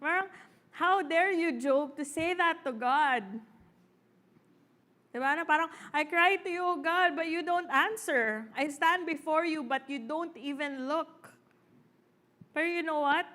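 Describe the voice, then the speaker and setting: A woman's voice: sits high, unhurried, sharp tone. A woman with a Filipino accent, a parent, preaching an earnest sermon in church, mixing English and Tagalog with fillers. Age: adult parent. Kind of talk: Taglish sermon.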